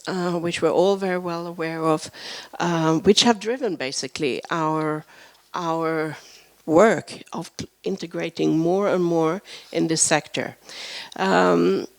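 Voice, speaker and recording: A hoarse woman in her fifties, sick with a flu, giving a halting conference talk into a handheld microphone on a panel. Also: fairly low pitch. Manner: halting, searching for words. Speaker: panel speaker. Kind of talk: conference presentation.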